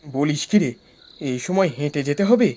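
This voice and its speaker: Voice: medium pitch, lively intonation, moderate pace, mellow, natural voice. An actor playing a brash young man in a horror cartoon, bossy and mocking with his friends. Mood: uneasy. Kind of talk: animated horror cartoon dialogue.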